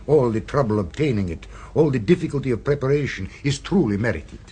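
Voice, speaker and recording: An actor playing a suave, sinister restaurant owner in a radio drama, with a smooth, purring and insinuating delivery. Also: male voice, medium pitch, lively intonation, fast, warm tone. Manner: silky and insinuating, tags questions with "eh". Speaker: restaurant proprietor.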